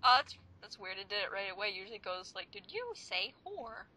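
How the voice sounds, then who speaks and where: A woman's voice: medium pitch, big pitch swings, brisk, sharp tone. A woman co-hosting in casual, teasing, sweary banter. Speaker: video co-host.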